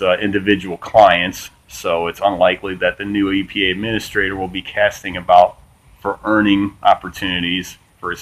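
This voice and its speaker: A man hosting a small political commentary channel, reading from an article and chatting casually, with a flat monotone delivery and lots of ums and uhs. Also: low-pitched, poor audio. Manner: swears casually, says "man" often.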